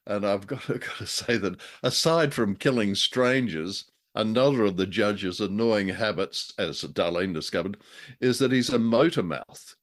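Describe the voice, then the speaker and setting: A man with a fairly low voice co-hosts a casual review show. He banters in a dry, understated way, often feeling his way through a phrase with small hesitations. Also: unhurried. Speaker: co-host of a show reviewing old radio dramas.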